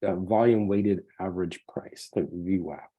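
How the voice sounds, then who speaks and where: A hoarse man asking technical questions in a casual call, relaxed and thinking aloud. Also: low voice, moderate pace, phone-quality sound.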